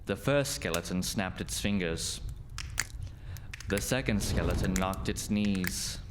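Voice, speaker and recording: Low voiced guy reading a fairy tale for a radio play, noticeable noise behind him. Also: slow. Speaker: narrator. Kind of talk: scripted storybook narration.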